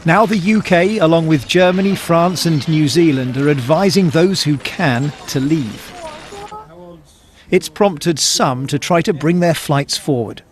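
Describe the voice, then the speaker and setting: Man, TV news correspondent, slightly high pitch, emphatic scripted report delivery. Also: a little slow.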